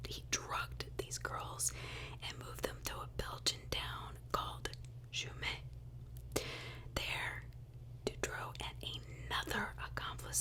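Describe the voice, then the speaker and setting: A woman whispering a true crime story in ASMR style, conversational, with asides and rhetorical questions.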